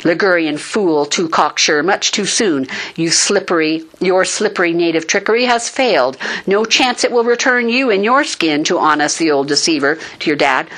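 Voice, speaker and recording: A woman's voice: fairly low pitch, normal speed, few pauses, a bit bright, rough recording. Woman reading as a scornful young warrior woman. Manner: scornful, declamatory.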